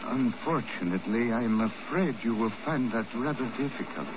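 An actor playing a menacing, falsely polite villain with formal, insinuating speech in 1950s radio drama. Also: male voice, medium pitch, lively intonation, close to an everyday voice. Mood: icily polite. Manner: over-formal, insinuating, deliberate. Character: smooth, menacing, mock-courteous foreign tobacco magnate villain. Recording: noisy, muffled old radio recording.

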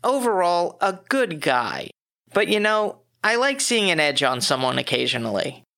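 A man hosting his own podcast, reading a scripted movie review with dry, wry asides, his voice a little hoarse from a cough. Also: high-pitched, big pitch swings, moderate pace, bright, forceful tone. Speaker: podcast host.

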